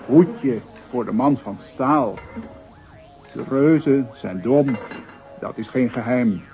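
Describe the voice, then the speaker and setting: An actor playing a moralizing narrator who addresses townsfolk in measured, declamatory rhyme in a radio play. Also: male voice, mid-range voice, narrow, tinny audio. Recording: thin old radio recording.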